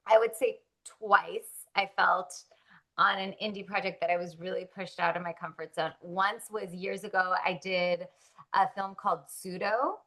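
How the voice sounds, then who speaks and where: A woman's voice: lowish voice, fairly even intonation, moderate pace, pushed and bright. A woman born in Russia, an actress chatting casually in an interview, upbeat and full of likes and ums.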